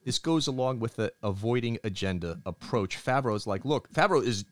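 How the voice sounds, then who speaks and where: A middle-aged man co-hosting a casual podcast, talking in an animated, opinionated way and acting out quotes in other voices. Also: medium pitch, moderate pace. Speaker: podcast co-host.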